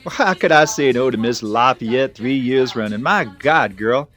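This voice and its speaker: An actor playing a folksy, self-deprecating, smitten analyst in an audio drama, a little flustered. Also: male voice, medium pitch, expressive, moderate pace, a bit bright, lightly acted. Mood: flirtatious, rueful.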